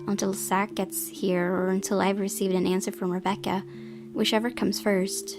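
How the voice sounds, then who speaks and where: A woman narrates a visual novel with expression, reading as she plays. Her mid-pitched voice has plenty of creak, and music plays clearly underneath. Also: flat delivery, normal speed. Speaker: game player reading aloud.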